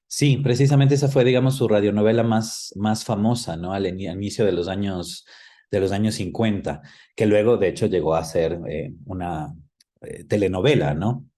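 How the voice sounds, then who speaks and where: A man's voice: fairly low pitch, normal speed, dark tone. A man with an Ecuadorian accent reminiscing in a conversational interview, explaining things and often adding small tag questions. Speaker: interview guest, actor.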